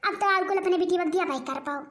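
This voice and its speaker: A performer voicing an excitable, gossipy, argumentative village woman in a comic Awadhi cartoon, with a rustic Awadhi accent. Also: very high-pitched, fast talker. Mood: gossipy haggling. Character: excitable rural Awadhi village women haggling over a wedding match.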